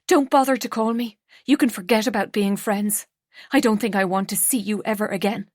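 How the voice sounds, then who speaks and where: A woman's voice: medium pitch, fairly even intonation, fairly quick, a little mellow, no echo, clean studio sound, lightly acted. A woman reading an audiobook, voicing a heartbroken young woman who turns tearful and bitter. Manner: tearful, pleading then cold and clipped.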